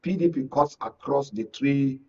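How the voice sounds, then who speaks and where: Husky Nigerian man, emphatic political analyst. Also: mid-range voice, normal speed, darkish tone. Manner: emphatic, repeats points, checks listener follows. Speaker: media analyst and guest. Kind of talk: political analysis in a studio discussion. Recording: muffled, distant mic, lo-fi sound.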